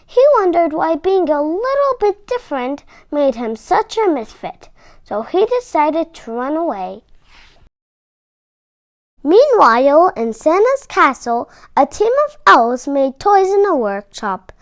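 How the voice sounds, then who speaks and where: A woman reading a children's story aloud with expressive, deliberate delivery and dramatic emphasis. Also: sits high, no echo.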